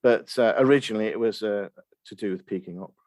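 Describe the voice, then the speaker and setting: Halting scholarly man, lowish voice, dry sound. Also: a little mellow, no room sound. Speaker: podcast guest, Chinese literature expert. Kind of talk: explanatory interview talk.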